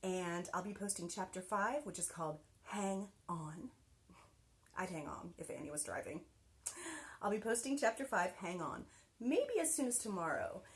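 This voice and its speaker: A woman teacher reading a children's book aloud with expressive, animated delivery and soft whispered passages. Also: lowish voice, normal speed.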